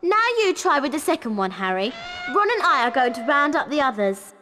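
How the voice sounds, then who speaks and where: A woman's voice: high voice, sing-song, a little fast, bright, forceful tone, long reverb tail, slight background noise, heavily acted. A woman voicing a keen, bossy young schoolgirl witch in a video game, earnest and instructive. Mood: brisk, instructive. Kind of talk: video game character acting.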